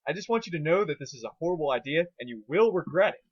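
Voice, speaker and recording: A man's voice: on the high side, animated, fast talker, no room sound, dull audio. A man voicing a worried young male dragon sidekick, protesting and pleading in an amateur dramatic reading. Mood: alarmed, pleading. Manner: alarmed, pleading.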